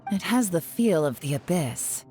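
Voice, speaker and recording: A cool, shrewd woman adventurer in a video game. Her voice is deep and dark, her delivery composed and deliberate, with soft music underneath. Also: lively intonation. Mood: wary. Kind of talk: English game dub cutscene acting.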